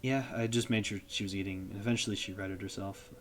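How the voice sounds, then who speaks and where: Man doing a refined, soft-spoken young woman, courteous and gentle, pitched a little above his low voice. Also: fairly even intonation, fast, close to an everyday voice. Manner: soft, courteous phone talk.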